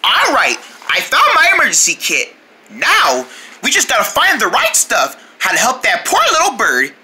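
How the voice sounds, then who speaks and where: A woman's voice: fairly high pitch, huge pitch swings, normal speed, pushed and bright, heavily acted. A woman voicing a caring, helpful young creature in a preschool children's show, reassuring with simple childlike phrasing.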